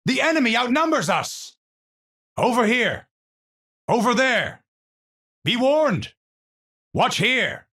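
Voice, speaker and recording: An actor playing an eccentric, unstable scientist in a video game, with a Dutch accent, husky voice and theatrical, formal scientific phrasing. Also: male voice, very high voice, big pitch swings, slow pace, long pauses, pushed and bright, heavily acted. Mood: manic, exultant. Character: eccentric, unstable male scientist, lofty and absent-minded. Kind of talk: video game character voice lines.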